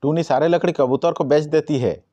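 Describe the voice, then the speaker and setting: A man's voice: fairly high pitch, steady tone, fairly quick, warm tone. Man narrating a children's cartoon story.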